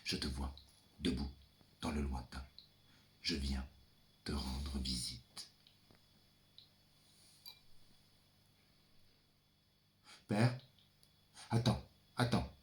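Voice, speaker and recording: A man performing a theatrical monologue as a brooding son, the ferryman of the dead, addressing his father in a deliberate, dramatic, incantatory manner. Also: very deep voice, expressive, a little hiss or hum, heavily acted. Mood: hushed, solemn. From France. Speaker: stage performer.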